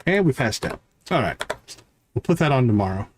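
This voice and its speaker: A man's voice: mid-range voice, very animated, a little fast, slight background noise. A man giving casual live gameplay commentary as a streamer, muttering short, easygoing remarks to himself. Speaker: game streamer.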